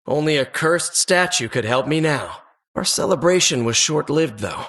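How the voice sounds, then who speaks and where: A man's voice: fairly high pitch, animated, normal speed, a bit bright. A man playing an earnest adventurer who narrates childhood dungeon exploits for a video game in a suspenseful, wistful storybook manner. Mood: solemn suspense.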